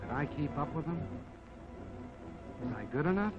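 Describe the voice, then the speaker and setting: A man's voice: fairly high pitch, expressive, normal speed, close to an everyday voice. A man voicing a modest, plucky army jeep that tells its own life story in a folksy, wry 1940s film narration. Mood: earnest, wondering. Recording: dull vintage 1940s film soundtrack, music behind.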